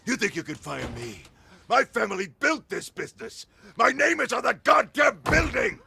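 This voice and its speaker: An actor playing a proud, entitled business tycoon in a video game, explosive and indignant. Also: male voice, very high-pitched, expressive, normal speed, vocal fry, bright, forceful tone, slight background noise, exaggerated voice. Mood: enraged. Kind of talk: video game cutscene acting.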